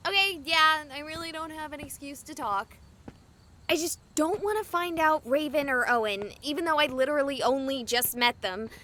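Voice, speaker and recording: A woman's voice: sits high, bright, forceful tone, heavily acted. A woman voicing a sarcastic, exasperated young female streamer in a horror video game, wisecracking and dramatic.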